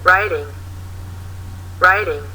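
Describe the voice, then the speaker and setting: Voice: medium pitch, takes it slowly, pushed and bright, a little hiss or hum, poor audio. A textbook audio narrator reading school subjects aloud, saying each word three times in a listen-and-repeat drill.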